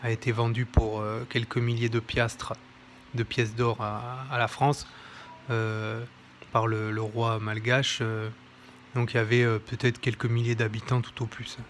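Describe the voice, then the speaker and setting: Hesitant man with a flat voice. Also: medium pitch, a little slow, a little hiss or hum. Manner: hesitant, many fillers and self-corrections. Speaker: presenter of a history book. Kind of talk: informal slideshow commentary. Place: home near an airport.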